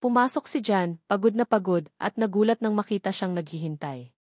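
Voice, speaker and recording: A woman's voice: mid-range voice, lively intonation, a little fast, darkish tone, no echo, clean studio sound. A woman narrating a scripted story dramatically as an audiobook narrator.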